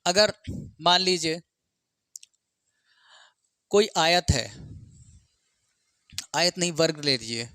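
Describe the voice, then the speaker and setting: A man's voice: fairly high pitch, animated, slow pace, long pauses, sharp tone, clear, resonant tone, no echo, studio quality. A man teaching maths for an exam, working through problems step by step, asking and answering his own questions and mixing in English terms. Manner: instructional, asks and answers own questions, frequent check words.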